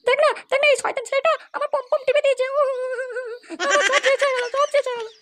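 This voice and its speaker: Outraged cartoon girl of adult age played by a man, extremely shrill, rattling along very fast. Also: flat delivery, very noisy. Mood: wailing, outraged. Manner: wailing, scolding. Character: shrill indignant young woman, easily outraged. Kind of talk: crude comedy cartoon dialogue.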